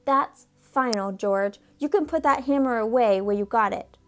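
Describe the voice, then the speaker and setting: A woman reading aloud as a stern, fussy father in a storybook, speaking firmly and deliberately. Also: a little high, fairly bright tone, a little hiss or hum, natural voice. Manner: firm, commanding, slow and deliberate.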